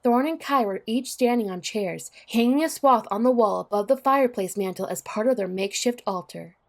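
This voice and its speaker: A woman's voice: on the high side, fairly quick, vocal fry. A woman narrating a scripted story for an audio drama.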